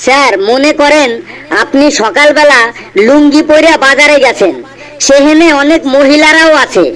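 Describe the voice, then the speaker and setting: Very high-pitched cheeky schoolboy. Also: male voice, moderate pace, a bit bright, rough recording. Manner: matter-of-fact, cheeky comebacks. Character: cheeky sixth-grade schoolboy, smart-mouthed and unflappable. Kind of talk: comedy cartoon dialogue.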